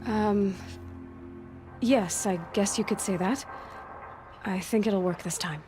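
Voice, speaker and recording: A young huntress from a video game, acted by a woman speaks slowly and with restraint. She sounds weary but determined, searching for answers. Music plays clearly under her voice. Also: medium pitch, natural voice. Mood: calm resolve. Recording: music behind the voice.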